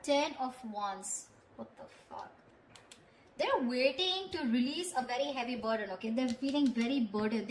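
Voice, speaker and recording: A woman giving an improvised tarot reading, talking directly to the listener in a conversational way and often checking in. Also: fairly high pitch. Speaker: tarot reader. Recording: echoey room with faint background noise.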